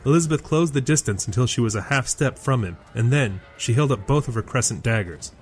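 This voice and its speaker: A man's voice: mid-range voice, animated, brisk. A man reading scripted narration for an audio drama. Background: loud music.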